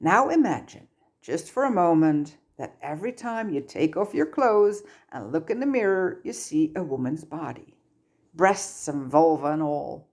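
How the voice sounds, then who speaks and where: A woman plays a male schoolteacher in a storybook reading, with a low voice. He is firm and measured, stern yet fond, with dry patience. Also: very animated, a little mellow, heavily acted. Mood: patient, kind. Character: stern but fond adult male teacher.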